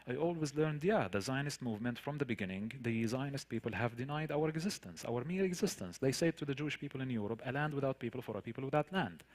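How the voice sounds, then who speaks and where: A man from the Palestinian territories gives a personal talk in accented English, with Arabic as his first language. His pitch sits in the middle for a man. He speaks earnestly, stressing key points through repetition and rhetorical questions. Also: expressive, quick pace, hardly any pauses. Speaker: guest speaker, tour guide. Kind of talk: personal storytelling in a public talk.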